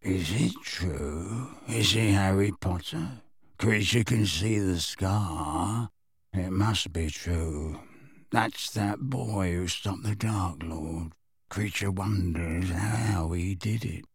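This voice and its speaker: A man voicing a very old, bitter house elf servant in an audiobook, muttering spitefully in a hoarse, deep croak. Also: no echo, studio quality, heavily acted. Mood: suspicious wonder. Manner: muttering under his breath, slow and doggedly resentful. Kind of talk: audiobook character voice.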